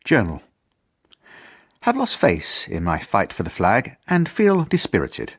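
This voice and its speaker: A man's voice: fairly low pitch, huge pitch swings, unhurried, fairly bright tone, slightly rough, exaggerated voice. A man acting an earnest, fussy British headmaster in a radio drama, formal and self-important as he narrates his journal. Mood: earnest, officious. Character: earnest, idealistic, fussy male school headmaster. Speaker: actor.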